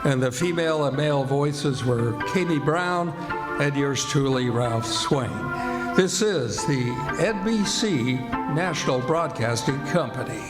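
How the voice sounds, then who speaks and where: A man's voice: medium pitch, animated, measured pace. A man announcing in the style of 1940s radio, live on stage as the show's host. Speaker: announcer and show director. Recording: echoey hall with loud music behind.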